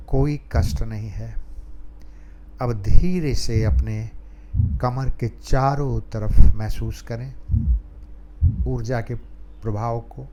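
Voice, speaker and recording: A man leading a guided meditation calmly, pausing between short phrases. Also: medium pitch, a little hiss or hum. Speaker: meditation teacher.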